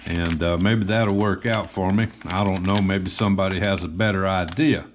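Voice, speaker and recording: Male hobbyist host, lowish voice, informal and hesitant hands-on demo delivery, some background noise. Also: fairly even intonation, normal speed. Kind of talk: casual show-and-tell of electronics gear.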